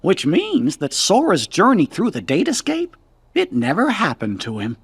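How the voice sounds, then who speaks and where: An earnest cartoon animal sidekick talks in a raspy, crackling voice, pitched fairly high. The thoughtful game dialogue bounces widely up and down. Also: male voice, wildly swooping pitch, normal speed, no echo, heavily acted.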